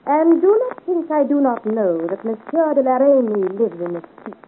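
Haughty scheming marchioness type, high dramatic voice that rises and dips a lot, tinny old radio serial. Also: female voice, sing-song, moderate pace. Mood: commanding. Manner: haughty, commanding, theatrical. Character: imperious, scheming French noblewoman. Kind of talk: 1950s radio serial acting. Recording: thin, noisy old radio recording.

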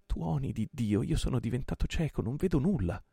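A man with a gravelly voice voicing a passionate male pirate chief in an audiobook, impassioned and commanding. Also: low voice, normal speed, dry recording, studio quality, heavily acted. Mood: anguished. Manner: impassioned, shouting orders.

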